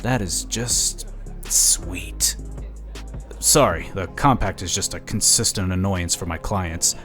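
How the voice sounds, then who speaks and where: Guy acting as a smug slick lobbyist type, deep voice, smooth talker, sci-fi audio drama, faint music behind. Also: slow, a bit bright. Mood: smug, intrigued. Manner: chatty, smooth-talking, condescending. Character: smug, slick, scheming male political lobbyist.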